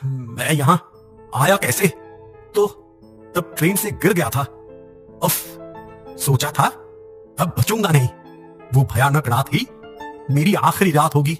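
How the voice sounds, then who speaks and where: A man voicing an uneasy, puzzled male traveller in an animated horror story, questioning and jittery. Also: on the high side, slow pace, long pauses, faint music behind. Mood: bewildered.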